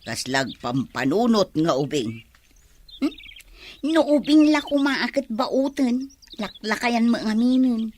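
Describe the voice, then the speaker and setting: A woman's voice: a little high, very animated, slow, darkish tone, full character voice. A woman playing a disapproving, nagging village mother in a comedy radio drama. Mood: grumbling. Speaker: actor. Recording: faint noise with chirping birds. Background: birds chirping.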